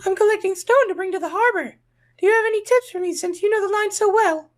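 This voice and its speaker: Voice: very high voice, quick pace, voice pushed up. A tiny cheerful female engine. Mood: bright, eager. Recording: narration over loud music.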